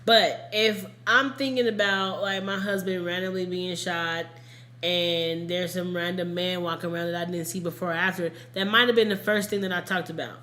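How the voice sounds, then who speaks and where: A woman with a fairly low voice tells a story conversationally, as a podcast host would among friends. Her phrasing is informal and emphatic. The room around her rings with noticeable echo. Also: fairly even intonation, normal speed, pushed and bright. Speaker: true crime podcast host. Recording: echoey room, casual podcast recording.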